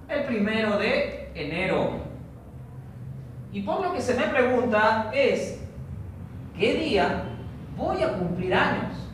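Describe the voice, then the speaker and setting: A man teaching a recorded online training lesson for teachers, explaining a logic problem slowly and step by step. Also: very high voice, a bit bright. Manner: slow, methodical, explains step by step. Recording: echoey room, distant mic, noisy.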